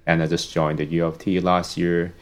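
A young man from Hong Kong, a psychology professor, speaking as a podcast guest and telling a long story in a relaxed way with many "um"s and "you know"s. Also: sits low, normal speed. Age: young adult. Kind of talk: casual podcast conversation.

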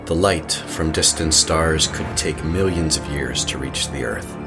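An extremely deep-voiced male narrator played by a man tells a horror tale. His delivery is measured, solemn and literary, with an ominous weight. Music plays clearly beneath him. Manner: measured, solemn, deliberate storytelling. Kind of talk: scripted horror audio drama narration. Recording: music under the voice.

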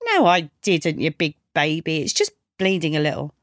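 Woman voicing a sarcastic young punk woman, lively pitch. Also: normal speed, no room sound, studio quality. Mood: calm, mocking. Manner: sarcastic, deadpan, taunting. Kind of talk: audiobook character dialogue.

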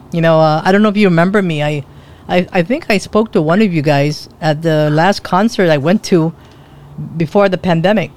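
A man hosting a radio interview show, asking questions in a casual, halting way and often repeating himself. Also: fairly high pitch, darkish tone.

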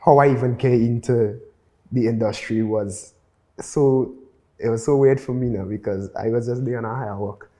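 A man's voice: mid-range voice, steady tone, moderate pace, dark tone. A man with a Trinidadian accent, talking casually and conversationally as a guest music producer in an interview.

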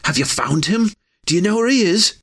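A man's voice: high voice, expressive, fairly quick, a bit bright, pitched above the performer's own voice, exaggerated voice. A male narrator playing an anxious, impatient young man who presses with urgent questions. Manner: urgent, rising, pleading questions.

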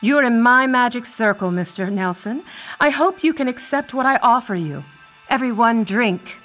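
An actress playing a serene, sinister cult leader in an audio play, measured, ceremonial and coolly controlled. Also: female voice, on the high side, expressive, fairly bright tone, faint music behind. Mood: serene, commanding.

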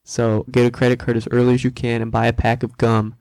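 A young man talks through money basics in a relaxed, joking way. His pitch stays very level, barely rising or falling. The sound is dry and close, with no room around it. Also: quick pace, dry recording. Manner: joking, acts out quoted voices in asides. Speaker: co-host. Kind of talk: casual explainer chat.

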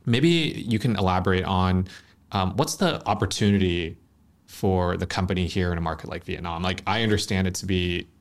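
Low-voiced male interview host. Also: normal speed. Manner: easygoing, frequent "uh" fillers while framing questions. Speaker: podcast host. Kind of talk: conversational interview hosting. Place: studio.

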